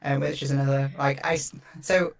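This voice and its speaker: A woman's voice: rumbling low, fairly even intonation, a little fast, vocal fry. A woman from Wales speaking as a guest in a casual panel chat, thoughtful and conversational. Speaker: panel guest, audio drama creator. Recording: slightly distant call microphone.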